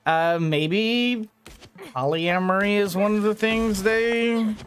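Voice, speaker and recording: A streamer commentates casually over a game in a middling pitch. The delivery rambles, thinking aloud as play goes on. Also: takes it slowly, slight background noise. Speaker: gaming streamer.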